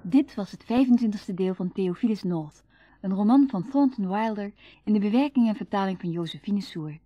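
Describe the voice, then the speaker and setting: A woman's voice: medium pitch, expressive, moderate pace. A woman reading scripted credits as a radio drama announcer. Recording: dull old radio broadcast recording. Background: music.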